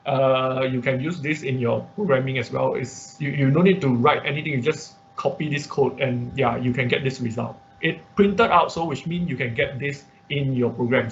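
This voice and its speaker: A man teaching an informal online programming class, thinking aloud haltingly and repeating his words as he explains. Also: medium pitch. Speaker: instructor. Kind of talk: informal online programming lesson with live demonstration. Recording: muffled, noisy online class audio.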